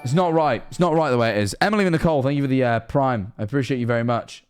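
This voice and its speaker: A man's voice: medium pitch, racing, clean tone, soft background music. A Scottish man of 21 streaming casually, rambling and self-mocking, swearing often.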